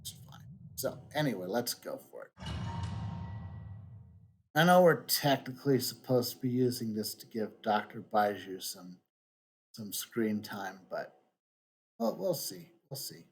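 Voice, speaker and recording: Slow-paced man musing over a video game. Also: fairly low pitch, very animated, breathy edge. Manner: musing, thinking out loud. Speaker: game streamer. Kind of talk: casual gameplay commentary. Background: game music.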